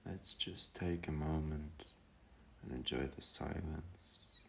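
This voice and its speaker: Very deep, slow-talking male meditation guide. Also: creaky, a little hiss or hum. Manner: calm, gentle, hesitant with long pauses.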